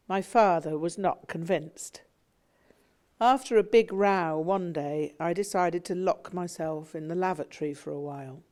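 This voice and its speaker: A woman narrating a memoir audiobook in a measured, reflective way. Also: fairly low pitch, darkish tone.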